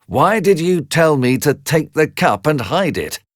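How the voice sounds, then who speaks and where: A man's voice: on the high side, big pitch swings, a little slow, dry recording, clean studio sound, voice pushed up. A man voicing an earnest, questioning young fisherman in a bedtime storybook tale, eager and easily led. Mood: indignant.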